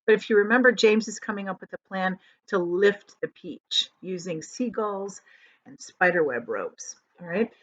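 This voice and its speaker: A woman teacher reading a children's book aloud and pausing to explain it. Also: mid-range voice, no room sound. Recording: dull, lo-fi audio.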